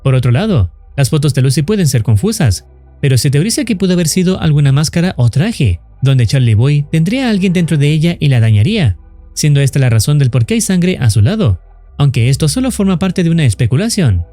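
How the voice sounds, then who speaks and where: A man's voice: fairly high pitch, big pitch swings, brisk, dark tone, no room sound, soft background music. A man reading scripted narration for a horror explainer video.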